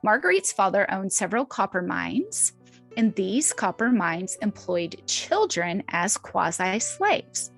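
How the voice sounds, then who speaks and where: A woman's voice: mid-range voice, sing-song, unhurried, vocal fry, faint music behind, rough recording. A woman co-hosting a podcast, telling stories in a casual, informal and chatty way with emphatic repetition. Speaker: podcast co-host. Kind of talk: casual storytelling chat.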